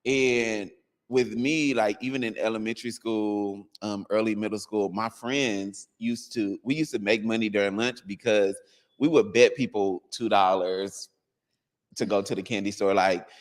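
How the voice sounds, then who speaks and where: Lively low-voiced man telling stories. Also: normal speed, long reverb tail. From United States. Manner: animated storytelling with laughs. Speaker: dance coach, guest. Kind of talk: casual interview.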